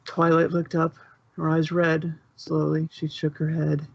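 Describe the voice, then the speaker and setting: A woman's voice: deep voice, monotone, measured pace, creaky, darkish tone, dry recording. A woman reading scripted story narration into a close microphone. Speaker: fan fiction narrator. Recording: slightly dull, close dry recording.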